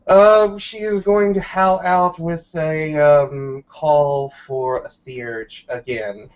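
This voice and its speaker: Higher pitched guy at a game table, sweary, slow talker, muffled recording. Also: steady tone. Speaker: tabletop roleplaying player. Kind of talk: casual game-table chat. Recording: dull, lo-fi voice recording.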